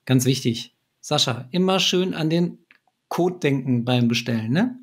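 Male podcast host, 30s, husky, playful, headset on a video call. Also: medium pitch, lively intonation, a little slow. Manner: jokey, laughs often, reads sponsor spots. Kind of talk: casual podcast chat.

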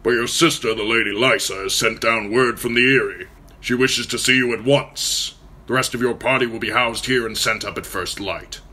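A man voicing a gruff, barrel-chested lord in an audiobook, speaking formally. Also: medium pitch, steady tone, moderate pace, sharp tone, pitched above the performer's own voice. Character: gruff massive middle-aged lord, stiff.

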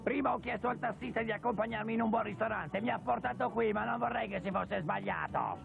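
An actor playing a crotchety, nosy old grandfather in a cartoon dub, blunt, grumbling and gravelly. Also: sits high, brisk, narrow, tinny audio. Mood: grumpy, demanding. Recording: music behind the voice.

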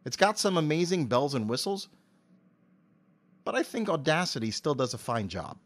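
A middle-aged man with an articulate, measured delivery, giving prepared interview answers into a close cardioid mic. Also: a little high, big pitch swings. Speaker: interview guest, amateur voice actor. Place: home office. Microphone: cardioid dynamic mic with pop filter, on a stand.

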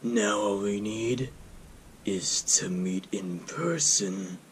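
A sinister haunted animatronic, played by a man, broods in a very slow, menacing drawl. Faint rumbling noise sits behind this horror game voice.

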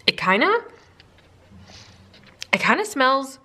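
A young woman from Minnesota, chatting casually and excitedly in a planner vlog, slightly stuffed up, filmed from above her desk. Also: sits high, slow. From Minnesota, USA. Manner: bubbly, chatty, lots of "like" and "um". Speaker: planner sticker vlogger. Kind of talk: casual chat. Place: indoors at a desk, overhead camera.

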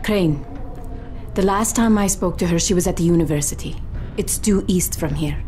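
An actress voicing a steady survivor leader, calm and direct. Her voice is low and mellow for a woman. Faint background noise sits behind her. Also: normal speed, natural voice. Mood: serious.

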